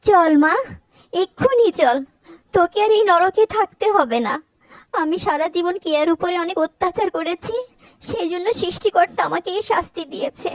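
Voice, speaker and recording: A woman's voice: very high-pitched, lively intonation, normal speed, dry recording, low-quality recording. A woman voicing an anxious, emotional middle-aged mother in a cartoon, with a fretful, emphatic delivery. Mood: distressed, remorseful. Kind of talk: Bengali cartoon voice acting.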